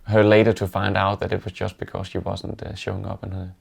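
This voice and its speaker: Gravelly Danish-accented man, low and flat. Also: fairly quick. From Denmark. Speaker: interviewee. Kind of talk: casual reflective storytelling.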